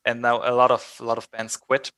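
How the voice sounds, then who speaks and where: A man's voice: medium pitch, monotone, a little fast, bright, forceful tone, smooth. A man from southern Germany with a German accent, speaking casually as an interview guest. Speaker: band vocalist, interview guest.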